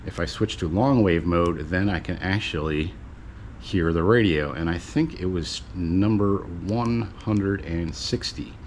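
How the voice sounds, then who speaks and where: A man's voice: sits low, a little slow, noisy background. A man casually presenting and explaining a gadget in an unscripted, rambling, conversational way as a video host. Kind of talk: casual unscripted product demonstration.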